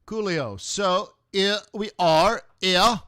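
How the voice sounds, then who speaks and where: A man's voice: high-pitched, slow, a bit bright. A middle-aged man hosting a live trading stream, talking casually in rambling asides and laughing often. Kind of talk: casual rambling livestream talk.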